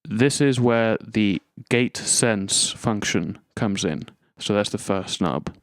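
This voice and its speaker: A man gives an instructional demonstration in a fairly low voice. He explains things methodically, one step after another. His delivery is clean and resonant, recorded very dry with no room sound. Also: lively intonation, slow, dry recording. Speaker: tutorial presenter.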